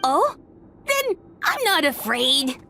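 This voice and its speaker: A woman's voice: sits high, sing-song, takes it slowly, bright, forceful tone, soft background music, full character voice. A woman voicing a small, innocent boy dragon in a video game, earnest, childlike and a little shy. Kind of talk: video game character acting. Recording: faint background noise.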